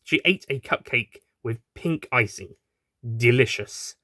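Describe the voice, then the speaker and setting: A man's voice: mid-range voice, animated, a little slow, pushed and bright, no room sound, clean studio sound. A man reading a scripted audio story as its narrator. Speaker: writer and narrator.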